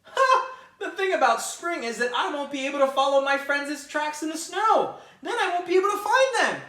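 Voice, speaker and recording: A woman's voice: high-pitched, expressive, normal speed, a bit bright, voice pushed up. A woman voicing a grumpy, worried young male rabbit in a picture book read-aloud. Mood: grumbling. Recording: roomy, mic at a distance.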